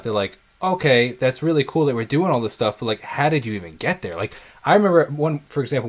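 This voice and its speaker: A young man from New York hosting a casual interview, telling stories energetically with lots of fillers. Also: medium pitch. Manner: energetic, animated storytelling with many fillers. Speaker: interview host.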